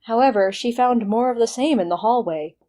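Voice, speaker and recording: A woman's voice: fairly high pitch, brisk, dark tone. A woman working as a narrator, reading scripted material for an audio story production.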